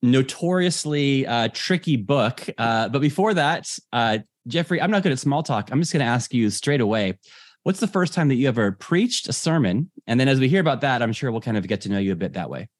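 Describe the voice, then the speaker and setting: A man's voice: medium pitch, lively intonation, fairly quick, dry recording, studio quality. A man hosting a preaching podcast, reading a plain and direct event announcement and then interviewing a guest, with frequent fillers. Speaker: podcast host.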